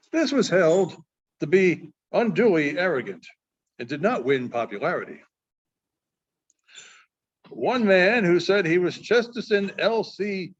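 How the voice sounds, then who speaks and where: A man with a notably high voice reads a story aloud in a halting way, often stumbling and going back over phrases. The recording is dull and muffled. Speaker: librarian reading aloud. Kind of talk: reading a short story aloud.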